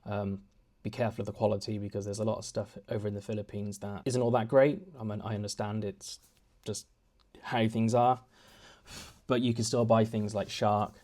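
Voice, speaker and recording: A man's voice: lowish voice, little pitch movement, a little fast, dark tone. A young man chatting at home in a casual vlog, rambling and self-deprecating with many restarts. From United Kingdom. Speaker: vlogger. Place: home, indoors.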